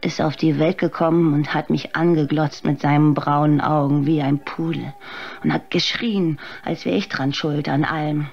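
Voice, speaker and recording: A woman's voice: deep voice, fairly even intonation, moderate pace, gravelly, muffled recording. An actress playing a bitter, exhausted mother and tavern waitress in a radio play, weary and sarcastic. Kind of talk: radio play acting, dramatic monologue.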